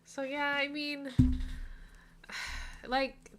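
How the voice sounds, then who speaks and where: A woman chatting casually as she co-hosts book reviews, with an expressive, dramatizing way of talking. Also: high-pitched, drawn-out words. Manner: expressive, exaggerates mock dialogue for emphasis.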